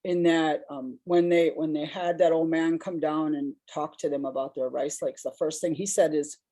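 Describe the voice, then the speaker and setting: Low-voiced woman telling stories. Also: steady tone, normal speed, mellow. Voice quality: big voice. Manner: reflective, rambling, laughs while telling stories. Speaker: panelist. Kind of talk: personal storytelling on a discussion panel.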